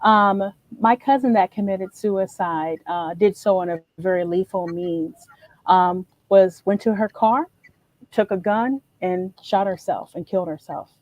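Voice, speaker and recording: A woman's voice: mid-range voice, unhurried, darkish tone, no echo, low-quality recording. Gracious woman speaking in a dry, close voice. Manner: gracious, reflective. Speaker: panel guest. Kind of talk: webinar panel discussion.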